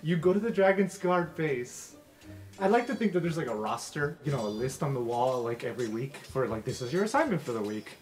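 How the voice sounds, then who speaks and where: Male game master, mid-pitched, conversational tabletop narration with music behind. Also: fairly quick, a little mellow.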